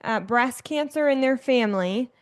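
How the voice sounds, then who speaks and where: A woman's voice: on the high side, normal speed, smooth. A woman hosting a podcast interview, who is curious and asks her questions directly. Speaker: podcast host. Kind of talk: conversational interview.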